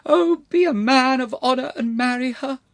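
Male narrator as elderly lady, very high pitch, strident, melodramatic, shrieking and wailing, storybook character, dry sound. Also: animated, normal speed, fairly bright tone, no echo, exaggerated voice. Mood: pleading, wailing. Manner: wailing, shrieking, theatrically pleading. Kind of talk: dramatised audiobook character voice.

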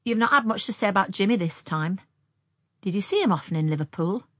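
A woman voicing a brisk, blunt and curious young country girl in colloquial, exclamatory audiobook dialogue. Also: mid-range voice, expressive, no room sound, lightly acted. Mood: teasing, curious.

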